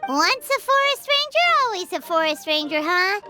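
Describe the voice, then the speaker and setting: A woman voicing a tiny, excitable, chirpy fairy girl in an English anime game dub, with an American accent. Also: very high-pitched, normal speed. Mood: chirpy, curious. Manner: perky, cartoonish, refers to herself by name. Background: game music.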